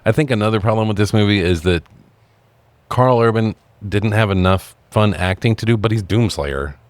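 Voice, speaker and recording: A man's voice: lowish voice, moderate pace, no room sound. A man co-hosting a film podcast, casually trading geeky, sweary, self-deprecating banter. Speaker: podcast co-host. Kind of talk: casual movie-review chat.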